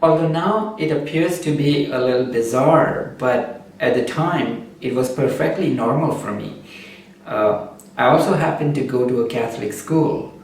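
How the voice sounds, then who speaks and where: A man whose first language is Urdu speaks at a middle pitch in a slow, measured way, often pausing to think. He is heard from a little way off the microphone, and his voice rings through a heavily echoing room with a faint hum of noise behind it. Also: a little mellow. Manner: slow, measured, with frequent "uh" pauses. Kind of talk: reflective spoken essay. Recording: very echoey room, distant mic, faint background noise.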